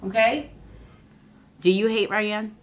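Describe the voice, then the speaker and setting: A woman's voice: medium pitch, fairly even intonation, slow, natural voice. A woman playing a firm, encouraging school staff member in a role-play, explaining things directly and coaxingly. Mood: firm, reassuring. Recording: roomy echo, faint room noise.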